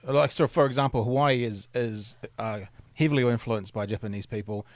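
A man's voice: mid-range voice, brisk, no echo. A man hosting an interview, asking loose conversational questions with frequent restarts. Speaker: interview host. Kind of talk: casual interview.

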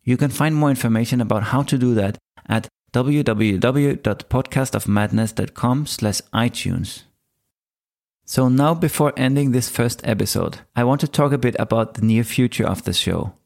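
A man in his forties with a Danish accent, hosting his own podcast and telling his life story in a calm, measured monologue. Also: medium pitch, creaky. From Denmark. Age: 40s. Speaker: podcast host. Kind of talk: scripted solo podcast monologue.

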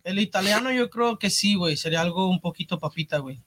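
A man's voice: sits high, animated, fast talker, a bit bright. A man with a Mexican accent chatting casually and slangily as a podcast co-host, dropping in lots of filler words.

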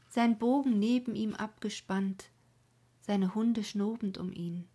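A woman voicing a grieving young woman who laments her dead lover in a dramatic poetry reading, with swelling calls and breathy whispers. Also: mid-range voice, darkish tone, pitched above the performer's own voice. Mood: longing.

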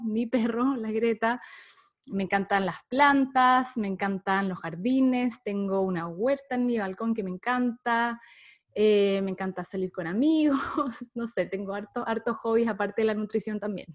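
A woman in her thirties from Chile with a Chilean accent, a guest researcher chatting in an interview, laughing often and using many fillers. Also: medium pitch. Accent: Chilean Spanish. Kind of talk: casual interview talk.

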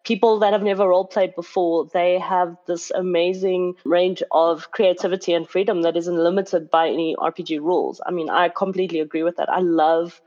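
A woman's voice: lowish voice, fairly even intonation, fairly quick, hardly any pauses, a little mellow, muffled recording. A woman hosting a live online panel about role-playing games, introducing guests and reading questions with enthusiasm. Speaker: panel host.

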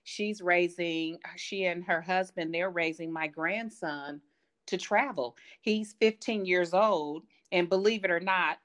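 A woman from Kansas City, a grandmother, chatting as a guest about her family travels in a relaxed storytelling way. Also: fairly low pitch, unhurried. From Kansas City, Missouri. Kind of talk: casual interview chat.

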